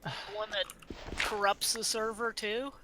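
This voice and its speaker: A woman's voice: medium pitch, level tone, measured pace, noisy background. A woman chatting in a game stream's voice chat, playfully teasing the host and laughing easily. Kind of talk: casual voice-chat banter.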